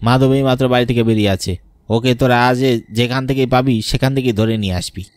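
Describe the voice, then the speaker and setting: A man's voice: mid-range voice, fairly even intonation, fast, no echo, lightly acted. A man voicing a greedy, murderous younger brother, curt and commanding, in a horror cartoon. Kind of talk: Bengali horror cartoon acting.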